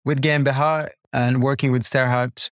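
A man from Norway, a guest designer, gives a personal interview answer in a thoughtful, halting way. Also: mid-range voice, little pitch movement, no room sound, clean studio sound.

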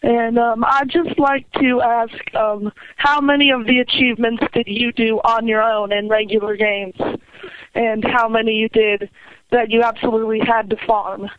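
Podcast caller, very flat intonation, casual question, muffled low-fidelity call recording. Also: moderate pace, dry recording. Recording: dull lo-fi voicemail-style call.

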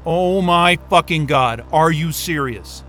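Excitable, high-voiced man. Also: slow pace, soft background music. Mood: exasperated. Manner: excitable, sarcastic, exclamatory. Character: astrophysicist, excitable, sarcastic, foul-mouthed man. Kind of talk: naturalistic audio drama acting.

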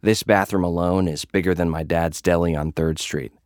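Deep-voiced man narrating a dark drama. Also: steady tone, moderate pace, smooth, no echo, clean studio sound. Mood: brooding, cynical. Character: cocky, jaded young male influencer gladiator. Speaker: narrator and lead character of an audio fiction. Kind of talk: first-person dramatic narration.

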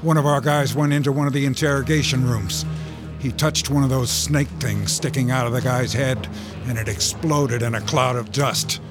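A man's voice: mid-range voice, moderate pace, music in the background, close to an everyday voice. An actor playing a grim male officer delivering urgent warnings in a horror radio play. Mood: grave. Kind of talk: radio drama acting.

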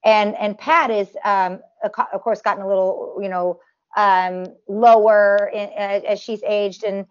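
A woman's voice: mid-range voice, fairly even intonation, a little slow, smooth, highs cut off. A woman with a nasal voice, a performer talking casually in an interview, full of restarts and fillers. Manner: talks in long runs with many restarts and fillers. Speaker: tribute performer guest.

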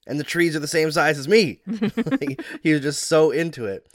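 A man from Alberta, a hockey analyst co-hosting a podcast, sick and under the weather, telling relaxed chatty stories. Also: fairly high pitch, normal speed, dry recording, studio quality. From Alberta, Canada. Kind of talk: casual sports podcast chat.

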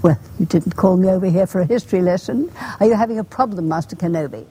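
An actress playing an elderly Jedi archivist in a film, prim and wistful, speaking in a measured, genteel, formal way. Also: female voice, on the low side, mellow, lightly acted. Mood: brisk. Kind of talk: film drama dialogue. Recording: film clip with noisy ambience.

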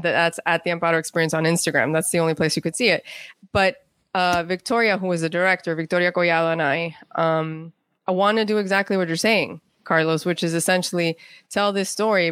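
A woman's voice: fairly low pitch, a bit bright, dry recording. Woman telling stories in a dry-sounding interview. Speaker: guest, playwright. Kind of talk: conversational interview storytelling.

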